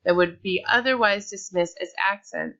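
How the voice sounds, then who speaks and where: Woman presenter, bright tone, lo-fi mic, reads her script with small restarts. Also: mid-range voice, moderate pace. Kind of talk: read-aloud slide presentation.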